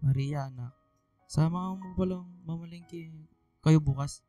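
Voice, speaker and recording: A man acting a mellow, gentle Filipino father. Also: a little high, a little slow, faint music behind, close to an everyday voice. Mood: reassuring. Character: mellow, ailing Filipino father, reassuring and teasing. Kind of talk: radio drama acting.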